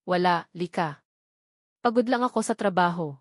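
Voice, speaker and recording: A woman's voice: fairly low pitch, moderate pace, no echo, studio quality, lightly acted. A woman voicing a troubled, secretive husband in an audio love drama, with a Filipino accent. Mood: weary, evasive. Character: troubled, secretive husband, a guilt-ridden male lawyer.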